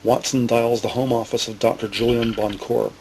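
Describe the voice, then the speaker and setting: Gravelly male drama narrator. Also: medium pitch, flat delivery, normal speed, a little mellow, rough recording. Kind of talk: scripted present-tense drama narration. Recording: faint background noise and ambience.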